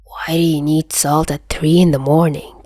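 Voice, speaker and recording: Woman as a grumpy, sardonic young mafioso, lower-pitched. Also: flat delivery, normal speed, mellow, no echo. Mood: sleepy grumbling. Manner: growling, sarcastic, swearing.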